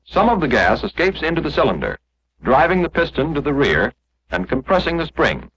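Male narrator, American, 1940s instructional style: measured explanation, animated intonation, slightly husky tone, muffled vintage film audio. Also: on the high side.

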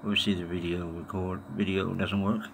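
A man giving a casual, halting hands-on demonstration, muttering to himself in an informal way. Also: low-pitched, little pitch movement, creaky. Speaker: tech video maker. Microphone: handheld phone or camera held over the device. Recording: noisy amateur handheld camera recording.

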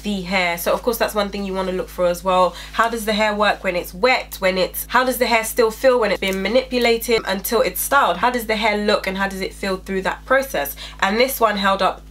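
A woman's voice: mid-range voice, a little fast, hardly any pauses, fairly bright tone. A young woman with a stuffy, blocked-up nose from a cold talks straight to her viewers. Her manner is chatty and upbeat, like a casual review recorded at home on a camera mic. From England. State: congested, stuffy-nosed from a cold. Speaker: beauty vlogger. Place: small indoor room at home.

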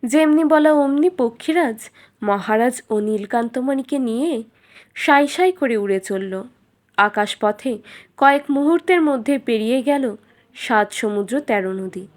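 A woman's voice: fairly high pitch, normal speed. A woman reading scripted narration as the storyteller of a children's fairytale.

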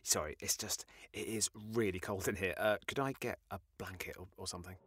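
An actor playing an apologetic, chilly man in an audio drama, speaking hesitantly and meekly in a husky voice. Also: sits low, brisk, fairly bright tone. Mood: meek, cold. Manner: hesitant, meek.

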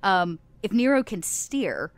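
A woman's voice: medium pitch, sing-song, takes it slowly, no room sound. A woman talking casually as a player in a tabletop game, thinking aloud informally as she improvises.

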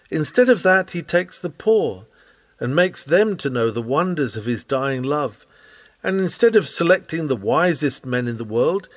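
A man reading a scripted sermon aloud in an earnest, measured, expressive preaching manner. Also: on the high side, no echo. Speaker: reader of a historical sermon.

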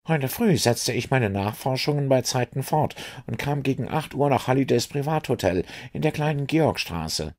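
A man doing a husky, agitated police inspector. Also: mid-range voice, darkish tone, pitched above the performer's own voice. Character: gruff, agitated middle-aged male police inspector. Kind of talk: audiobook reading.

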